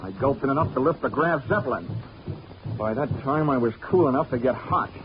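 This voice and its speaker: A man's voice: medium pitch, a little fast, noisy background, lightly acted. An American man acting a wisecracking hard-boiled detective in 1940s radio drama, wry, clipped and sardonic. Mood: wry narration. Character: wisecracking hard-boiled male detective narrating his case. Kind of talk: 1940s radio acting, first-person noir narration. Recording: muffled old radio recording with music.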